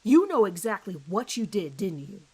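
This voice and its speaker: A woman's voice: medium pitch, sing-song, normal speed, dark tone, slightly rough. A woman voicing a hard male police detective who questions bluntly and sardonically in an audio horror drama. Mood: accusing, forceful.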